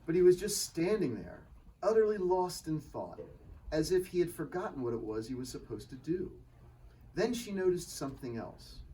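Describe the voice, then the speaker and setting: A man's voice: mid-range voice, mellow. A man reads scripted narration to a live gathering as author and storyteller. His mid-range voice moves dramatically up and down, picked up from a distance with faint noise in the room. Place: live reading at a bookshop event.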